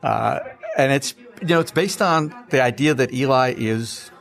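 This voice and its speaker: Gravelly man telling relaxed anecdotes. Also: mid-range voice, moderate pace. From Minnesota. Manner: relaxed, anecdotal, chuckles while telling stories. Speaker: author guest. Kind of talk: casual interview chat.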